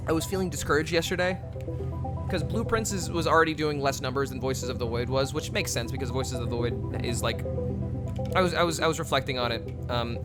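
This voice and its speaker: A man streaming a game and chatting candidly with his viewers, rambling with restarts and swearing. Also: mid-range voice. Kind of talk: casual livestream chat with viewers.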